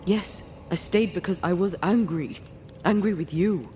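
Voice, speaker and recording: An actress playing the resentful ghost of a woman ghost hunter in a video game, speaking in a measured, grave, direct way. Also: fairly low pitch, a little mellow, a little hiss or hum, close to an everyday voice. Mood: angry, reproachful. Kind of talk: dramatic video game acting.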